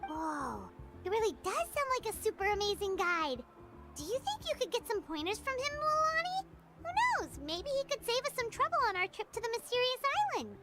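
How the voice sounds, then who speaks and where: A woman voicing a tiny, excitable childlike girl sidekick in an anime video game, squeaky and exclamatory. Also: a little fast. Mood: curious, amazed. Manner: exclamatory, refers to herself by name.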